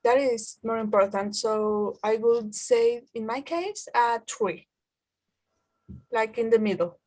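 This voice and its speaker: A young woman leading a class discussion, reading out the questions in a measured way. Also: on the high side, a little mellow, low-quality recording.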